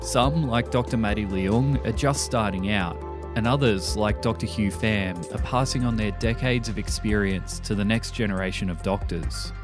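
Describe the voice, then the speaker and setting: A man's voice: fairly low pitch, moderate pace. Male podcast narrator over music. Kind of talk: scripted narration.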